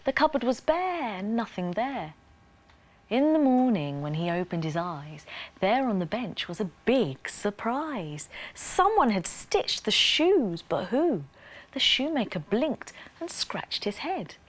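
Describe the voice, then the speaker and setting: Woman storyteller with lively, swooping pitch. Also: takes it slowly. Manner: expressive, sing-song rhyming delivery with dramatic whispers and stretched words. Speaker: storyteller and presenter. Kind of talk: scripted children's bedtime storytelling.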